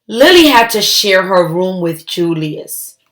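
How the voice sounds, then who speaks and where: A woman reading a children's story aloud, expressive and emphatic, with deliberate phrasing. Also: fairly low pitch.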